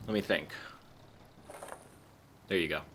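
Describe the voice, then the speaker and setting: A man's voice: sits low, slow pace, fairly bright tone, breathy edge, exaggerated voice. An actor playing a despondent, brooding 1930s policeman in a radio play, gloomy and self-pitying. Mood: distracted, subdued.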